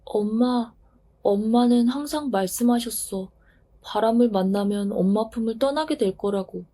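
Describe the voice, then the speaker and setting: Timid childlike dandelion seed, small pleading voice, higher, as a woman puts it on. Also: normal speed, warm tone, natural voice. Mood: wistful.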